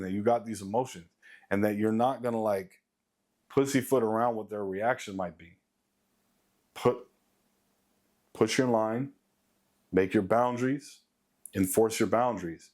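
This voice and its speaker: A man in his late thirties with a deep voice, giving a blunt, profane motivational rant to his viewers as a vlogger. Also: a little mellow. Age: late 30s. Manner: blunt, profane, emphatic repetition. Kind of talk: casual motivational rant to camera.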